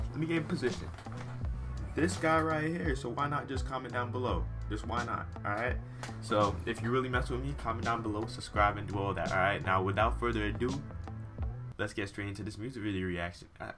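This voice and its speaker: A man in his twenties with an American accent hosting a reaction video in casual slang, excitable and repeating himself. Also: medium pitch. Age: 20s. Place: small bedroom, indoors. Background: hip hop music playing.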